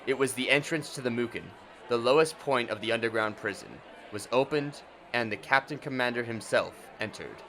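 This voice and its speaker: A man's voice: mid-range voice, a little fast, fairly bright tone, a little hiss or hum. A man reading scripted story narration for an audio drama.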